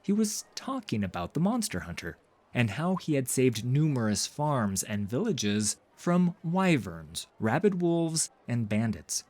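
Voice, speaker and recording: A man's voice: mid-range voice, big pitch swings, a little slow, a little mellow. A man narrating a fantasy story in a dramatic, scripted audiobook style.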